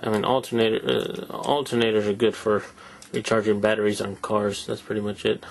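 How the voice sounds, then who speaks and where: A man's voice: lowish voice, little pitch movement, brisk, slight background noise, poor audio. A man giving a rambling, offhand toy review at home at night, recorded on a camera mic. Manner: rambling, offhand, muttering asides. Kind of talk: casual hands-on review. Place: quiet room at home at night.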